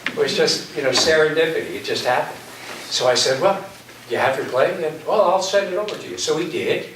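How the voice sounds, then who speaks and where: A man giving an informal, anecdotal introduction as a theater director, halting and pausing often. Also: a little high. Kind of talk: informal live introduction speech. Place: community center stage. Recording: echoey hall, distant mic, background noise.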